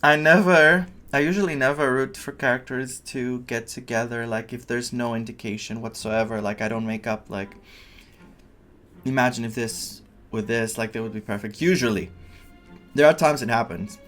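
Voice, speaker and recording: A man's voice: medium pitch, steady tone, moderate pace, a bit bright, faint music behind. A man streaming a game playthrough with casual, informal, chatty commentary.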